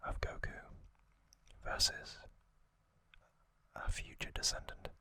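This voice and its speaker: Whispering man, very slow ASMR narration. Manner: slow, hushed, gentle storytelling in short broken phrases. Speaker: ASMR video host.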